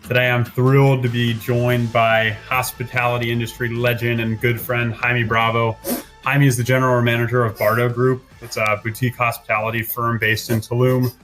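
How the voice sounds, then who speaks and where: A man's voice: medium pitch, little pitch movement, moderate pace, fairly bright tone, faint music behind. A man hosting a conversational podcast interview. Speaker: podcast host.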